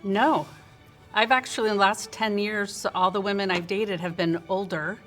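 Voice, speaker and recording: A woman's voice: mid-range voice, fairly even intonation, normal speed, a bit bright, faint music behind. A woman in her late fifties speaking frankly and matter-of-factly as a guest on a couples show. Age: 50s. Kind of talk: casual chat.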